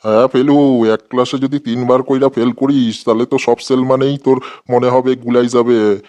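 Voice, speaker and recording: An exasperated cartoon schoolteacher, acted by a man, with a mid-pitched voice. He scolds in colloquial speech, full of sarcasm and comic threats. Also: level tone, normal speed, warm tone, lightly acted. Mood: exasperated, scolding. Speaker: cartoon voice actor. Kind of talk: comedy cartoon dialogue.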